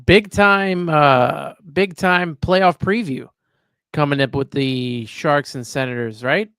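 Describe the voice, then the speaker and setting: A man co-hosting a hockey podcast in casual chat, lively and quick to laugh. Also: on the high side, clear, resonant tone, no room sound. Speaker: podcast co-host.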